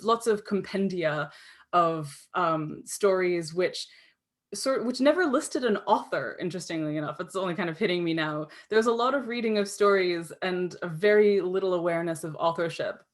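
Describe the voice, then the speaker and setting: A Canadian woman speaks in a somewhat low voice, answering interview questions. She rambles, restarts her sentences and fills the pauses with ums. She sounds tired from too little sleep. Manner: rambling, self-interrupting, many fillers and restarts. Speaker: guest author.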